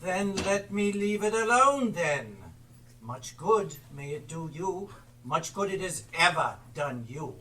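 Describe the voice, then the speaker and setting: A man plays a miserly old uncle in a live stage play, curt and full of scorn. The voice is high and forceful, picked up from a distance over clear noise. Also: lively intonation, slow pace, exaggerated voice. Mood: curt, dismissive. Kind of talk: theatrical stage acting. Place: theatre stage, live performance.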